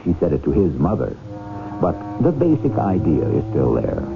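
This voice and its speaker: A man with a deep voice narrates a vintage radio drama, theatrical and wry, with lively swings of pitch. Music plays behind him in a tinny broadcast recording. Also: moderate pace, creaky. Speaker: host and narrator.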